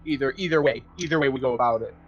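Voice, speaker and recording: A man's voice: medium pitch, very fast, lo-fi sound, faint music behind. A man talking casually as a player in a tabletop roleplaying game.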